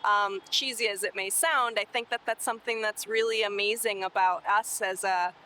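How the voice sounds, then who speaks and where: A woman's voice: medium pitch, fairly even intonation, normal speed, bright, forceful tone, poor audio. A young woman from Colombia who works on city sustainability, answering oral history interview questions earnestly and hopefully, with some fillers.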